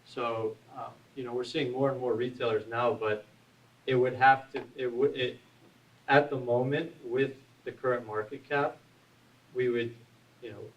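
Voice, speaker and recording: A man's voice: mid-range voice, dull audio. A man on a panel answering a question hesitantly, with frequent ums and "you know". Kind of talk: panel discussion. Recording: distant mic with faint hiss.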